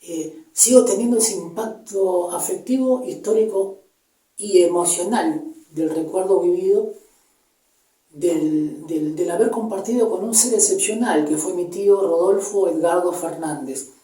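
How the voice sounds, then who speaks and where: A man in his fifties with a Buenos Aires area Argentine accent, recounting personal memories in a halting, reflective way, pausing to search for words. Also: high-pitched, warm tone. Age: 50s. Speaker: interviewee giving personal testimony. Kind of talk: personal storytelling, unscripted recollection. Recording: echoey room, distant microphone.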